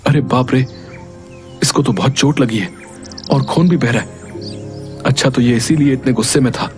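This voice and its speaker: A man's voice: mid-range voice, normal speed, close to an everyday voice. A man voicing a naive, easily startled young hero in a cartoon story, with a wondering, exclaiming manner. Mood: alarmed. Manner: wondering, exclaiming, talks to himself. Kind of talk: Hindi cartoon story voice acting. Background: music.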